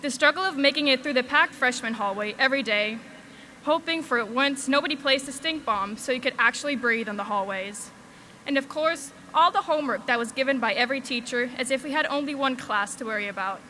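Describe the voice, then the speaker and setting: A woman's voice: sits high, fairly quick, bright, forceful tone, a little hiss or hum. A young woman from Switzerland giving a valedictorian speech at a graduation, earnest with light humor, on a podium microphone. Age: young adult.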